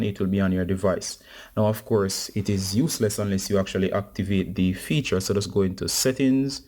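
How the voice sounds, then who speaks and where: A man's voice: lowish voice, darkish tone. A man hosting a casual step-by-step phone tutorial, speaking informally and instructively to his viewers. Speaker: tech tutorial host.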